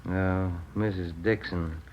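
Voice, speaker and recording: An actor playing a polite, businesslike police detective in a 1950s radio drama, with an American accent and an even, matter-of-fact manner. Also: male voice, low-pitched, slow pace. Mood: polite, probing. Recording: muffled, noisy vintage radio recording.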